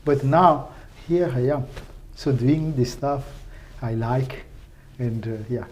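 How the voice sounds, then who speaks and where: A middle-aged man from Benin, a native French speaker with a Francophone West African accent, talking enthusiastically as an interview guest in halting, repetitive phrases. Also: medium pitch, darkish tone. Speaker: research professor, podcast guest. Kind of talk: casual spoken interview.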